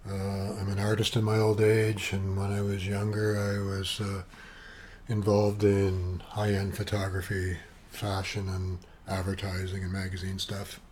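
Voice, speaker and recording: A soft-voiced man in his seventies from Canada talks on and on in a low, fairly flat voice, full of asides and fillers. He is recorded at home on a webcam with some external sound gear. A faint hiss sits behind him. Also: measured pace. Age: 70s. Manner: chatty, eccentric, wandering with asides and fillers. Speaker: vlogger. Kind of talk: rambling personal monologue. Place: at home, sitting at his computer.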